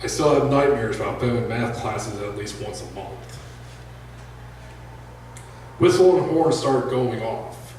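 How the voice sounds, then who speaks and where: A deep-voiced man reads his own fiction to a live audience in a plain, unhurried way. His voice rings through a very echoey room. The mic sits some way off. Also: expressive, darkish tone, distant mic, slight background noise. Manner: plain unhurried reading with joking asides to the audience. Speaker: author reading his own book. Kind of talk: live reading of prose fiction. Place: indoor live reading event.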